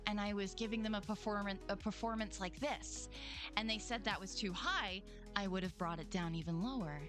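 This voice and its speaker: A young Australian woman streamer and voice actor, ranting casually to chat with lots of slang and swearing. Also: medium pitch. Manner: swears freely, slangy, quick emotional swings. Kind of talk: casual livestream rant and chat reading.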